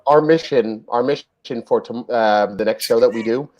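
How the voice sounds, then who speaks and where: A man's voice: medium pitch, moderate pace, dull audio. A man co-hosting a casual online comedy chat show, jokey and leading the conversation. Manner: animated, jokey, leads the chat. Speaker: show co-host.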